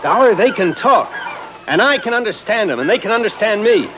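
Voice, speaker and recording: A man's voice: sits high, animated, brisk. An actor playing an agitated, insistent, accusing man in an old-time radio drama. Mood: frantic, insistent. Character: excitable, accusing man obsessed with talking dolphins. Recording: noisy, muffled old radio recording.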